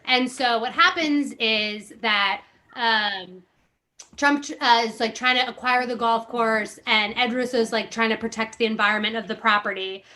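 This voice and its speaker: A woman's voice: a little high, measured pace, pushed and bright. A woman hosting a casual podcast, chatting and reading a book aloud to her guests with an amused, conversational manner full of likes and you-knows.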